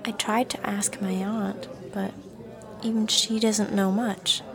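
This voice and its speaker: Actress as shy unsure college girl, breathy n careful, bit of fry, talks slow, audio drama. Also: mid-range voice, level tone, crowd noise. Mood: wistful, unsure. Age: young adult. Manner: hesitant, soft-spoken, often trailing into breathiness. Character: guarded, unsure young supernatural woman student. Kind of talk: acted audio drama dialogue.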